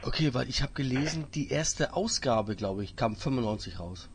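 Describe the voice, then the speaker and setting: A man's voice: medium pitch, expressive, normal speed, noisy background. A man in his forties hosting an internet radio show, chatting casually with a caller and jumping in with questions. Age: 40s. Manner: chatty, jumps in with questions, reads from the chat. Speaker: radio host.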